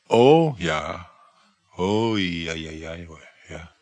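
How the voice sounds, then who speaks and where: An actor in a radio play, playing an insecure, jealous married lover who gives hesitant, short, mumbled replies. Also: male voice, deep voice, animated, extremely slow, a little mellow, no echo, exaggerated voice. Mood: evasive, flustered. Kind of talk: naturalistic radio play acting.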